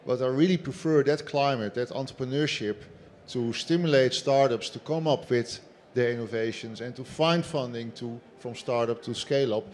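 A man from the construction industry speaking on a seminar panel in a large hall, measured and emphatic. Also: medium pitch, a little mellow. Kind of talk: panel discussion.

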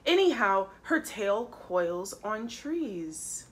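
A woman's voice: medium pitch, big pitch swings, drawn-out words, breathy edge, heavily acted. A woman voicing a small, upset monkey child from a children's storybook, plaintive and insistent as it protests. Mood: protesting, insistent. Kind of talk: children's storybook read-aloud.

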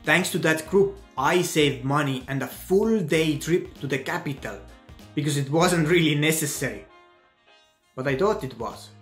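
A young man from Estonia with an Estonian accent, giving earnest, deliberate advice in a scripted vlog, talking with his hands. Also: fairly high pitch, reverberant. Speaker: vlogger.

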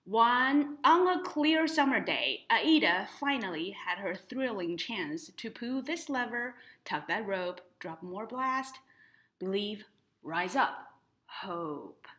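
Young woman reading aloud, lively swooping pitch. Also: slow. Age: young adult. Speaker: library storytime reader. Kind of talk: children's picture book read-aloud with explanatory asides. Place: indoors in front of a painted woodland mural.

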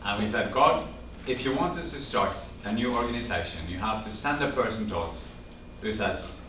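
Middle-aged norwegian guy telling a story, lowish voice, roomy far-off sound with hum behind. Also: moderate pace. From Norway. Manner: animated storyteller, quotes family dialogue, gestures while talking. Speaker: speaker telling his story. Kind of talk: storytelling talk to a small audience. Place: classroom-sized meeting room with projector screen. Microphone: far camera, no visible mic. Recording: echoey room from far off, background hum.

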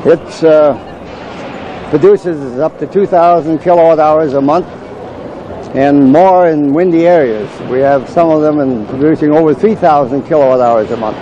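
A man's voice: on the high side, moderate pace, dull audio. Older man explaining, noisy outdoor tape. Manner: plain, matter-of-fact explaining with frequent uh. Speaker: wind turbine maker. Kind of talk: explaining to fairgoers. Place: outdoor fairground. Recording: noisy outdoor camcorder audio.

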